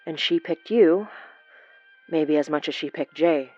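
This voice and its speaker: An actress playing a warm, earnest young woman in an audio drama, gentle and reflective, with sighs. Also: sits low, unhurried, no echo, lo-fi sound, soft background music. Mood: earnest, probing. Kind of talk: naturalistic audio drama acting.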